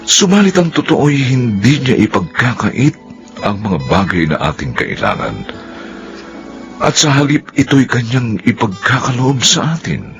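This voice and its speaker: A man's voice: mid-range voice, wildly swooping pitch, a little slow, creaky. A man with a Filipino accent giving scripted, reflective narration as the narrator of a radio drama. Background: music.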